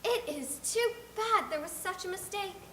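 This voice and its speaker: A woman's voice: extremely high pitch, lively intonation, normal speed, bright, forceful tone, roomy sound, slight background noise, pitched above the performer's own voice, heavily acted. A woman voicing a blunt, gossipy older village woman who speaks her mind in emphatic, disapproving tones in an audiobook. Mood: gloomy. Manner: outspoken and emphatic. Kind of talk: audiobook character reading.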